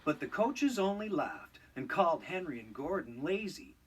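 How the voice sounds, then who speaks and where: Guy reading a kids storybook, super animated, fairly high voice, expressive storyteller. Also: normal speed, slightly rough, recorded from a distance. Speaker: storybook narrator. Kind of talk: scripted children's read-along narration.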